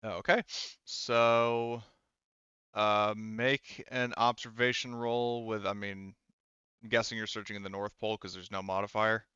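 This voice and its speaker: A man's voice: fairly low pitch, little pitch movement, measured pace, pushed and bright, clear, resonant tone, no echo, muffled recording. A man acting as game master of a tabletop roleplaying game, talking casually and hesitantly as he searches for words.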